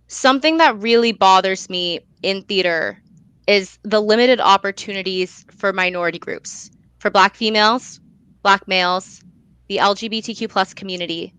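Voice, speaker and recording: A woman's voice: medium pitch, moderate pace, a bit bright, clean tone, no room sound, poor audio. A young adult woman answering in a conversational interview, earnest and emphatic, repeating phrases for stress. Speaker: guest, student actor and playwright.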